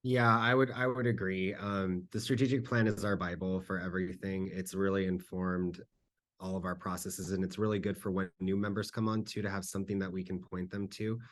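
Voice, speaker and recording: A man's voice: sits low, little pitch movement, fairly quick. A man speaking as a panelist in a conference Q&A, explaining things conversationally and often checking for agreement. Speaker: panelist, school district communications staffer. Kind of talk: conference presentation Q&A, informal advice.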